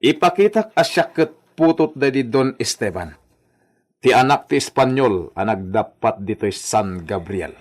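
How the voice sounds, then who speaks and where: Haughty young master, wildly swooping voice. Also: male voice, medium pitch, animated, unhurried. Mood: bossy. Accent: Filipino. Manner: pompous, commanding, peppered with Spanish words. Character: haughty, bossy young master posing as Spanish gentry. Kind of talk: radio drama acting.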